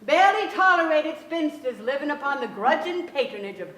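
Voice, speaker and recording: A woman's voice: high-pitched, very animated, a little fast, a bit bright, reverberant, far from the mic. An actress playing an anxious, overbearing middle-aged mother in a staged drama, theatrical, fretful and emphatic. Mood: fretful, pleading. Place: large theatre stage with audience, indoors.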